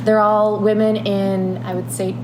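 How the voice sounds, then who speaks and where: A woman's voice: mid-range voice, little pitch movement, unhurried, background noise. A woman hosting a relationship podcast, reading a scripted intro and then interviewing guests in an upbeat, encouraging way. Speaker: podcast host.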